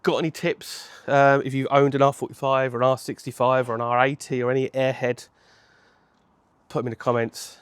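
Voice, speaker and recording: A man, hoarse from a cold, chatting casually to camera outdoors on a home patio, rambling and correcting himself as he goes. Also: mid-range voice, flat delivery, fairly quick, dry recording. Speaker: motorcycle vlogger.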